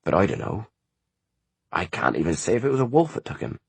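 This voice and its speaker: A man's voice: low voice, very animated, a little fast, vocal fry, a little mellow, slightly rough, no echo, pitched above the performer's own voice, exaggerated voice. A man voicing a grieving peasant mother. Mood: weary. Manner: pleading, sorrowful.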